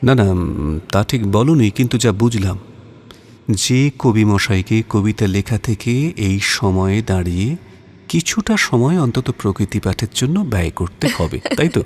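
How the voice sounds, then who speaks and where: A man playing a romantic, playful poet in an audio drama, with lyrical, expressive phrasing. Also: lowish voice. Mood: playful, coaxing. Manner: lyrical, drawn-out phrasing, teasing. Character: romantic male poet, tender, playful, mock-aggrieved lover. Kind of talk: Bengali audio drama with poetry recitation. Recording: music under the voice. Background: background music.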